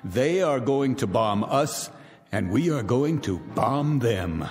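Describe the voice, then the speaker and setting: An actor playing a wealthy, cynical man who calmly announces the end of the world from his bunker, with a smooth, menacing, deliberate delivery. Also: mid-range voice. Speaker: Mr. Raiden, bunker owner. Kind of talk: radio drama acting. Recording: long reverb with music beneath.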